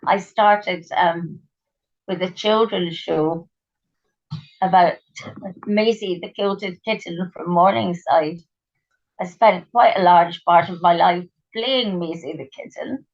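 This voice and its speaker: A middle-aged woman speaks with a croaky, gravelly voice that sits low. She is a guest in a relaxed interview, thoughtful and trailing off with ums as she remembers. The sound is dull and lo-fi, like a remote recording. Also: takes it slowly. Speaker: interview guest, writer and actress.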